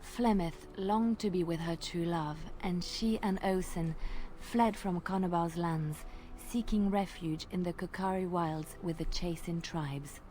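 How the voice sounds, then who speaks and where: A woman telling an old legend in character during a tabletop roleplay, measured and dramatic, at times dropping to a hush. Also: lowish voice, slight background noise, rough recording.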